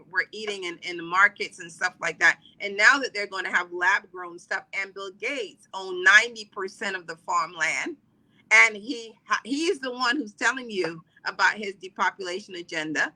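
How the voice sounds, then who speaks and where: Bright-voiced chatty woman from the Caribbean. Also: mid-range voice, poor audio. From Trinidad and Barbados. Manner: chatty, many fillers and restarts. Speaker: podcast guest, wellness coach. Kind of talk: casual interview talk.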